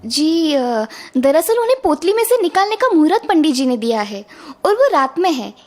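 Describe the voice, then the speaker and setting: A dutiful young daughter-in-law from a cartoon tale voiced by a woman, with a high voice. She is polite, earnest and quietly clever, over light background music. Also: very animated, moderate pace. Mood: polite, coy. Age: young adult. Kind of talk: Hindi cartoon story acting.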